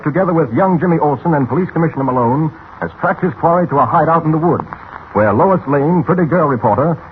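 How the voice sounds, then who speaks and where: A man narrating a 1940s radio serial in an emphatic, declamatory, dramatic style. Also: on the high side. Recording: tinny old radio transcription, some noise.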